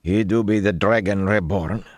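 A man's voice: lowish voice, drawn-out words, fairly bright tone, dry recording, clean studio sound, voice pushed up, heavily acted. A man voicing a wary, stubborn bowman in an audiobook, guarded and speaking an archaic rural dialect. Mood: doubtful. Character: wary, stubborn, narrow-faced male bowman, cornered and doubtful.

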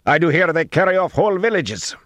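Frightened rustic fighter, shouted accusations, archaic dialect, high strained pitch, audiobook. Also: male voice, big pitch swings, a little fast, no room sound. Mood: frightened, accusing.

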